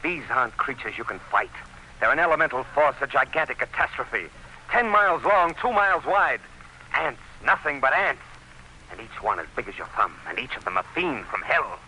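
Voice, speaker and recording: An actor playing an earnest district commissioner in an old-time radio drama, speaking with dramatic emphasis. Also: male voice, medium pitch, expressive, moderate pace, bright, forceful tone, a little hiss or hum, low-quality recording. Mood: urgent, alarmed. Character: earnest, urgent male district commissioner warning of doom.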